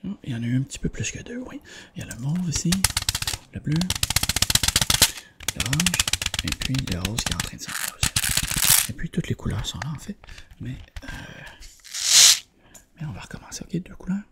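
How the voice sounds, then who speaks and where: A person whispering softly in an ASMR eye exam roleplay, gently checking in with short questions. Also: medium pitch, very animated, fairly quick, long pauses, very noisy. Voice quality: whispered, soft-spoken. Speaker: ASMR creator playing an eye examiner.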